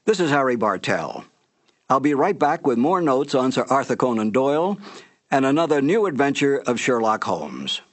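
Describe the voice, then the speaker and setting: A man's voice: mid-range voice, very animated, normal speed. A man giving a scripted introduction as a radio host.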